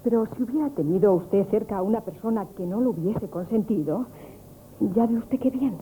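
Actress as dark-toned, feisty young woman. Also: mid-range voice, a little fast, close to an everyday voice. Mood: indignant. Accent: Spanish from Spain. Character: kind-hearted but feisty young shopkeeper's daughter. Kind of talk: 1970s radio comic theatre acting. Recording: dull, noisy vintage radio broadcast.

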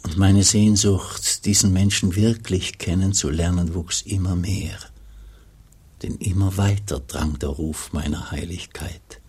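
An actor playing an old, pious, melancholy abbot in a German radio play, speaking gravely and solemnly as he looks back, with a gravelly, husky voice. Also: deep voice, steady tone, darkish tone. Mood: yearning, lonely. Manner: slow, solemn, measured storytelling.

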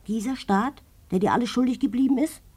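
A worried, embittered wife in a radio drama. Her voice is husky and rough-edged, and she presses her husband with insistent reproach. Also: fairly high pitch, a little fast, a little mellow. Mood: bitter, urging. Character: anxious, bitter, pressing wife of a clerk. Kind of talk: German radio play acting.

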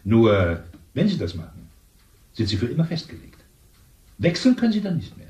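A curt agency clerk. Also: male voice, mid-range voice, huge pitch swings, moderate pace, dark tone, recorded from a distance. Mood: brusque. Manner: curt, condescending, brushing off. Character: brisk male casting-agency clerk, dismissive. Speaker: agency secretary. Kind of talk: 1970s radio play acting. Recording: echoey room, off-mic.

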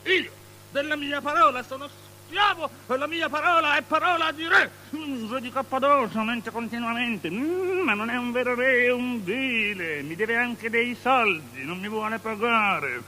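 A man's voice: very high voice, expressive, normal speed, bright, forceful tone, a little hiss or hum, heavily acted. An actor playing a lecherous, fearful biblical king in a theatrical drama, stammering and repeating himself obsessively between whispers and shrieks. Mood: boastful, shrill. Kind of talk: avant-garde theatrical radio drama.

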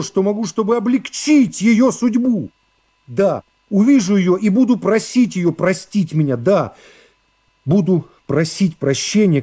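A man's voice: high-pitched, dark tone, husky, pitched above the performer's own voice, exaggerated voice. A man voicing a remorseful nobleman in a radio serial, agonizing over his guilt in an emphatic inner monologue. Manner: anguished, emphatic interior monologue.

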